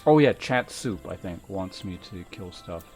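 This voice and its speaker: A man's voice: sits low, lively intonation, unhurried, darkish tone, faint music behind. A man doing casual gameplay commentary as a streamer, muttering offhandedly to himself and his chat. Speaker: game streamer.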